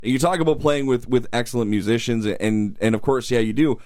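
A male host leads the interview with upbeat, encouraging questions, keeping the chat easy and friendly. His voice sits at a middling pitch and he talks at an everyday pace. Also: a little fast, a little mellow. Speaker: radio show host. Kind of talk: conversational interview hosting.

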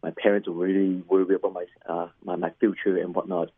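A middle-aged man from Hong Kong whose first language is Cantonese, a pastor telling his life story in an interview, halting and repeating words with many fillers. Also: sits low, level tone, husky, no echo, phone-quality sound. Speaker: pastor and chaplain, guest. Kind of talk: personal testimony interview.

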